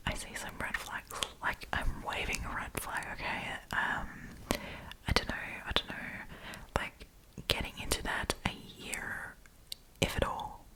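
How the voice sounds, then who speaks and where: A woman telling stories in a breathy ASMR whisper, with chatty, playful, slangy commentary. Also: rough recording. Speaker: ASMR storytime host.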